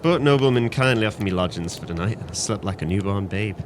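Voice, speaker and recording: Audio drama role: male actor as tipsy, trusting old-salt sailor man, sentimental and florid, slightly low voice, clear background noise. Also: lively intonation, fast talker, close to an everyday voice. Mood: merry, grateful. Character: drunken, trusting, grateful former sailor. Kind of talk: audio play acting.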